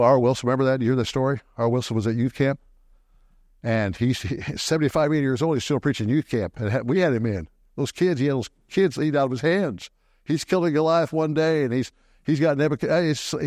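Animated male preacher in a dry church. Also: dry recording. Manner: animated, swings pitch high for emphasis. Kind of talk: sermon. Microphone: microphone.

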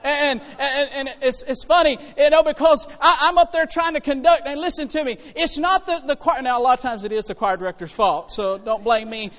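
A male preacher delivers a sermon in a very high-pitched voice that rings through a big reverberant church. His manner is folksy and conversational, shifting between quiet asides and loud, urgent exhortation. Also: a little fast, hardly any pauses. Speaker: preacher and church choir director. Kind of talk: animated sermon to a congregation. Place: church hall, preaching to congregation. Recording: reverberant hall with long echo.

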